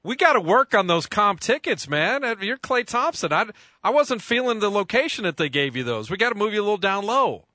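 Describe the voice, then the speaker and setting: A man's voice: sits high, big pitch swings, brisk, sharp tone, no room sound. A man hosting a sports radio interview in a studio, chatty and joking with lots of fillers.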